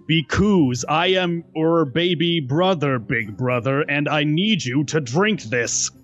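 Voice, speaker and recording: A man voicing a brooding, dryly sarcastic younger brother in a cartoon roleplay, with a deadpan, drawn-out delivery. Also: fairly high pitch, lo-fi sound, faint music behind. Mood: dry, insistent. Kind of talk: improvised Minecraft roleplay voice acting.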